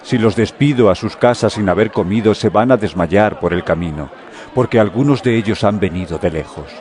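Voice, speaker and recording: An actor playing a solemn, authoritative male teacher and healer in a dramatized scripture audio drama, speaking in a measured, deliberate way. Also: lowish voice, darkish tone, lightly acted. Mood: compassionate.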